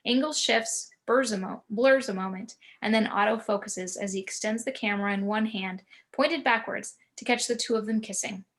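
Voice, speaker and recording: A woman reading screenplay action and scene descriptions in a measured, descriptive manner. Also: mid-range voice. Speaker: narrator.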